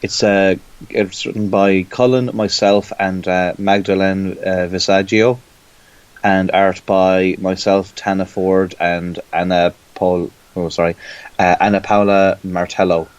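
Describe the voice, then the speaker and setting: Irish guy with a really low voice, rambling on as a podcast guest, dry muffled lo-fi sound. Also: little pitch movement, measured pace, smooth, dry recording. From Ireland. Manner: chatty, rambling explanations. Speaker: comic book creator guest. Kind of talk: casual podcast interview.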